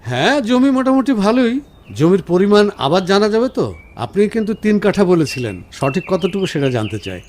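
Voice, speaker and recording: A man's voice: high-pitched, sing-song, normal speed, darkish tone. A male actor playing a considerate, practical husband in a radio drama. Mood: polite, practical. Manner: courteous, practical. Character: considerate, businesslike husband, polite and caring. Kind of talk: Bengali radio drama acting.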